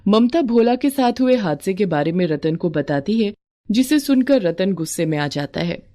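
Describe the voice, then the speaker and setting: A woman's voice: mid-range voice, animated, normal speed, dark tone. A woman narrating a scripted moral story as a storyteller.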